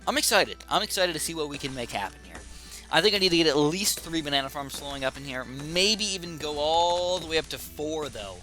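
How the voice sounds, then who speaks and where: Young male gaming YouTuber, slightly raspy, bright and excitable, casual commentary. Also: fairly high pitch, brisk, faint music behind, rough recording. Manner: chatty and excitable, thinking aloud. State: slightly raspy from a sore throat.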